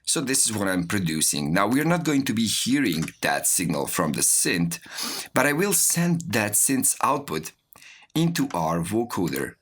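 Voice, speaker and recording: A man giving a software tutorial as an instructor, explaining step by step while he demonstrates. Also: on the low side, very animated, measured pace, slightly rough, faint music behind. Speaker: music production instructor.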